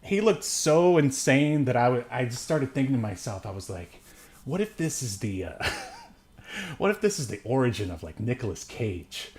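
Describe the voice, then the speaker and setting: A man's voice: mid-range voice, big pitch swings, moderate pace, a little mellow. A man co-hosting a podcast, giving a casual, comedic movie recap in a rambling, jokey way with frequent chuckles. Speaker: podcast co-host reviewing films.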